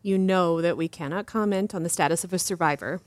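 A woman playing an earnest, principled doctor who answers interview questions in a formal, precise and measured way. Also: lowish voice, dry recording, natural voice. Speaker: interview guest. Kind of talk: scripted drama interview.

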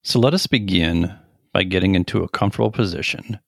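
A middle-aged man leading a guided meditation in a gentle, deliberate manner with long pauses. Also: low-pitched, creaky, dry recording, clean studio sound.

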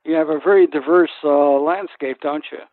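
A man's voice: on the high side, steady tone, normal speed, dry recording. A man hosting an interview, asking conversational, inquisitive questions. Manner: inquisitive, with frequent brief acknowledgements. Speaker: radio interviewer, host. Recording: dry, narrow phone-like sound.

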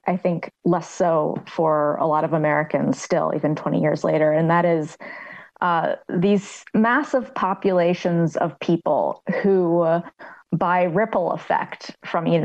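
A woman journalist answering interview questions in a thoughtful, halting way, restarting her sentences as she thinks. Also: low-pitched, muffled recording. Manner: thoughtful, hesitant, many fillers and restarts. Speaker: journalist guest.

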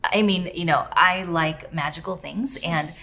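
A woman podcast host with a somewhat low voice, musing slowly and softly. She pauses on "um"s and adds whimsical little images as she talks. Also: creaky. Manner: slow, reflective, soft, with "um"s and whimsical touches. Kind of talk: casual podcast chat.

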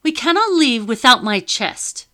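A woman reading an audiobook and voicing a haughty, imperious lady with a crisp, indignant manner. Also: sits high, sing-song, measured pace, sharp tone, voice pushed up, heavily acted.

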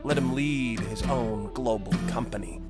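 A man's voice: mid-range voice, a little slow, over loud music, natural voice. A man playing a vain, scheming court official bent on revenge in an audio drama, speaking in a haughty, theatrical way. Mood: vengeful, scheming.

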